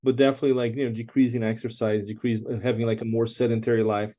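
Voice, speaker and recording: A man's voice: medium pitch, little pitch movement, fast, dark tone. A man speaking as an expert doctor, explaining things conversationally and often checking in with the listener. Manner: explanatory, frequent check-in tags.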